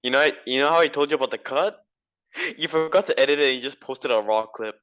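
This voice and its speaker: A man's voice: mid-range voice, fast, fairly bright tone, clear, resonant tone, muffled recording. A man who is a podcast guest, talking directly in a casual chat and pressing his point.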